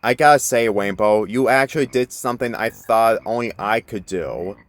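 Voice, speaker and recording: A man voicing a sensible young female pony in a story reading, level-headed and gently reproving. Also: fairly low pitch, normal speed, lightly acted. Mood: approving.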